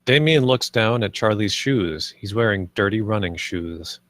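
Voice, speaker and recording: A man's voice: lowish voice, animated, unhurried, a bit bright, no room sound, clean studio sound. A man reading stage directions as the narrator of a film script read. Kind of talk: scripted narration, present tense.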